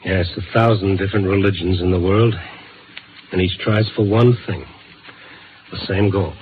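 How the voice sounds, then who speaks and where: Actor as earnest radio reporter, tinny vintage sound. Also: male voice, low voice, steady tone, moderate pace, slight background noise, close to an everyday voice. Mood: subdued, sincere. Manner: dramatic, clipped storytelling. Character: earnest, world-weary American newspaper reporter narrating his night beat. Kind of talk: 1950s radio drama acting and narration. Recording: narrow-band vintage radio broadcast. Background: dramatic music score.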